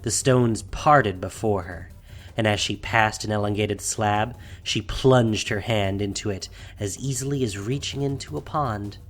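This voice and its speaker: A man's voice: lowish voice, unhurried, faint music behind. A man narrating a fantasy audio drama from a script in a dramatic storytelling style.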